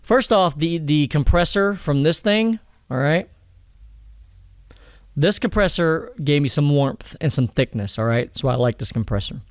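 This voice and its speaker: A man explaining vocal mixing in a relaxed, rambling tutorial, often checking in with "all right?" and "okay?". He has a thin voice and is talking in his home studio. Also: on the high side, measured pace, dry recording. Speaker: music producer teaching vocal mixing.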